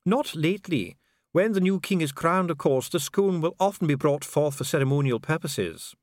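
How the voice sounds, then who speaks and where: A man's voice: on the high side, animated, moderate pace, no echo, clean studio sound, pitched above the performer's own voice, natural voice. A man voicing a solemn, disdainful dwarf official in an audiobook, formal, precise and pompous. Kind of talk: audiobook character acting.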